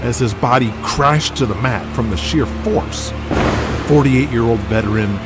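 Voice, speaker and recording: A man's voice: medium pitch, measured pace, music in the background. A man reading scripted narration for an audio drama in a dramatic storytelling style.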